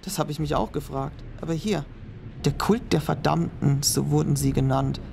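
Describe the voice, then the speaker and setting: A man's voice: a little high, moderate pace, vocal fry, a little mellow, a little hiss or hum. A young man playing a cautious student who reads old notes aloud, in an audio drama. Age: young adult. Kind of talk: amateur audio drama acting.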